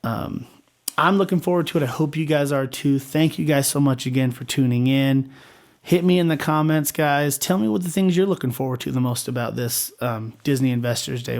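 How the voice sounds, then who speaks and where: A young man hosting his own channel, talking casually and upbeat about upcoming series, with a slightly hoarse voice and many fillers. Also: medium pitch, a little mellow. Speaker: video host. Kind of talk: casual enthusiastic fan commentary.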